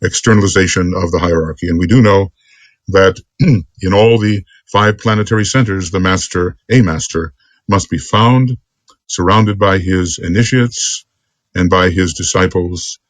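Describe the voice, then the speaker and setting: A man giving an extemporaneous spiritual lecture as a teacher, speaking earnestly in deliberate, halting phrases. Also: low voice, no echo. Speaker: esoteric teacher.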